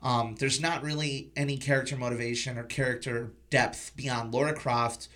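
Bright-voiced man chatting as podcast host. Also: mid-range voice, fairly even intonation, moderate pace. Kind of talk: casual movie review chat.

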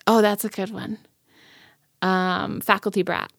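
A woman's voice: mid-range voice, level tone, a little slow, vocal fry, a bit bright, no echo, clean studio sound. An American woman hosting a casual chat in a playful, frank way. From United States. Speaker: host, sexuality educator.

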